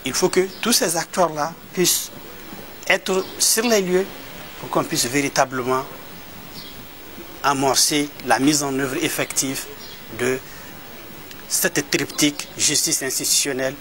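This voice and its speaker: A man from Mali, an advocate, giving a measured, explanatory interview answer with frequent hesitations and repeated words. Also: medium pitch, sharp tone, a little hiss or hum. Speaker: human rights advocate.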